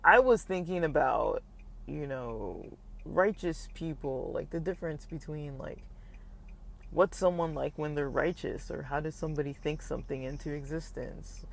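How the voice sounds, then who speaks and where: A man's voice: a little high, normal speed, vocal fry. A young man talking casually in a personal vlog, hesitant and rambling with many fillers, his throat slightly scratchy. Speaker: vlogger.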